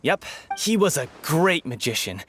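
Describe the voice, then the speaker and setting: A man's voice: on the high side, very animated, measured pace, fairly bright tone, faint music behind. An actor playing a chatty, opinionated townsman in a video game, with a gossipy, confident manner. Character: chatty, opinionated male townsperson, admiring magic fan.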